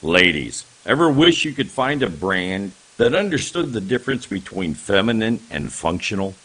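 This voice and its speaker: A man's voice: on the low side, sing-song, moderate pace, fairly bright tone, slightly rough, poor audio. A man reading radio advertisements as an announcer.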